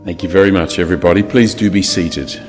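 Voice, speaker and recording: A middle-aged man with an Australian accent, leading a funeral service in a measured, solemn and courteous way. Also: sits low, fairly even intonation, over music. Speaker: funeral celebrant. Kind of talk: formal funeral address and prayer. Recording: long reverb of a large hall.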